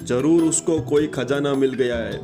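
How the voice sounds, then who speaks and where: A man's voice: medium pitch, measured pace, music in the background, close to an everyday voice. An actor voicing a greedy middle-aged male moneylender in a cartoon, blustering and scheming. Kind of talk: cartoon voice acting.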